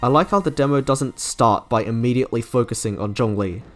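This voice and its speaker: A man's voice: mid-range voice, fairly quick, a bit bright. A young man giving scripted commentary as a video game reviewer, with music playing behind him. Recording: background music under narration.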